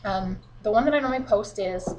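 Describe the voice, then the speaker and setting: Woman, team leader, mild cold and sniffles, relaxed encouraging chat, mid-pitched. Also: quick pace, background noise. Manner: chatty and encouraging, frequent fillers. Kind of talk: casual instructional vlog. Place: at home, children beside her.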